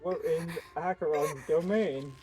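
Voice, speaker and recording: A game streamer giving casual, excitable, slangy reaction commentary during a playthrough. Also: medium pitch, unhurried. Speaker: live streamer playing a game. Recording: game music behind the voice.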